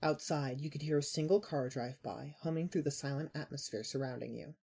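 Husky, mellow woman narrating dramatic fiction. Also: low voice. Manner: measured, dramatic storytelling. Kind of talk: scripted fan fiction narration.

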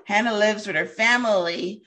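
A woman hosting an interview with a bright, forceful voice. She sounds openly excited, drops in lots of ums and you-knows, and laughs easily between thoughts. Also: medium pitch, moderate pace. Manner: enthusiastic, laughs often, many fillers. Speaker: host. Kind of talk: casual interview chat.

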